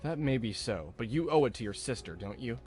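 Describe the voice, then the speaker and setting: A man's voice: medium pitch, lively intonation, brisk, a little mellow, faint music behind, lightly acted. A man playing a blunt, matter-of-fact investigator in mystery visual novel dialogue. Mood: grave.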